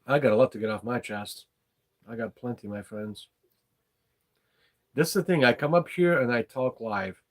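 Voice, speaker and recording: Rambling man chatting live. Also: mid-range voice. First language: not English. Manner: rambling, frank, swears casually. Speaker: livestream host. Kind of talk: unscripted live chat.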